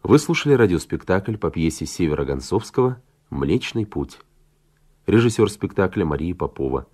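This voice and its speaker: A man's voice: low voice, very animated, moderate pace, darkish tone. A man reading credits as a formal Soviet radio announcer.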